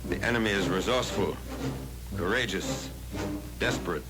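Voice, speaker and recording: A man with an American accent reading scripted 1940s wartime newsreel narration in a grave, measured, oratorical way, on a vintage film soundtrack. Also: sits low, lively intonation, loud music behind the voice.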